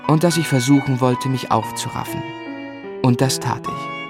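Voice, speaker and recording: A man acts a devoted, reflective novelist looking back in a literary radio drama. His delivery is earnest and measured, at a medium pitch. Music plays clearly beneath the voice. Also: fairly even intonation, natural voice. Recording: studio radio play with music underneath.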